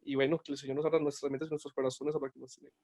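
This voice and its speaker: A man hosting who introduces a guest priest in a halting way, over a video call. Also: medium pitch, flat delivery, darkish tone. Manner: halting, with restarts. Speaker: host.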